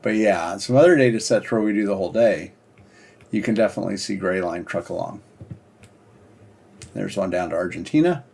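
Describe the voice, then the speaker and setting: A man presenting a casual screen-share software demo in an informal, halting, explanatory way. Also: lowish voice, vocal fry, breathy edge. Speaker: presenter demonstrating a software project.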